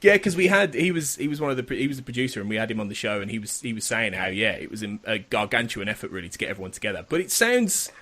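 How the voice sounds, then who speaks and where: A man hosts a podcast interview with an animated, swooping delivery. He is eager and restarts his sentences often, and he laughs easily with his guest. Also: on the low side, very fast, hardly any pauses. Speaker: podcast host.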